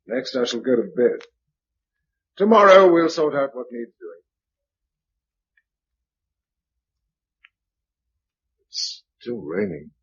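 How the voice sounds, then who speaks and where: British radio drama survivor hero, clipped n earnest, very lively intonation, old muffled recording. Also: male voice, a little high, a little slow, creaky, breathy edge, full character voice. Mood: firm. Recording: dull, faintly noisy old radio recording.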